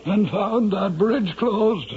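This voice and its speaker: High-voiced country innkeeper. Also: male voice, slow pace, breathy edge, full character voice. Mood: evasive, placid. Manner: hedging, folksy. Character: evasive, mild Irish country innkeeper. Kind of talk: 1970s radio drama acting. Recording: thin, phone-like vintage radio recording.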